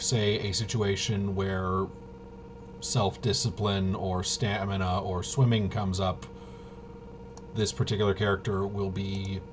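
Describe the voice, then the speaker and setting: A man hosting a tabletop game walkthrough, thinking aloud in a casual, halting way and muttering to himself. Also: deep voice. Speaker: host of a tabletop RPG video. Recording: noisy room, clearly audible background noise.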